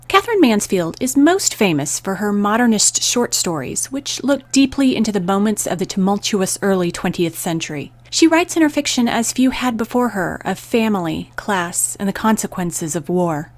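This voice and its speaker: A woman hosting a poetry radio program, reading scripted narration and verse in a measured, deliberate way with pauses between phrases. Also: mid-range voice, dry recording.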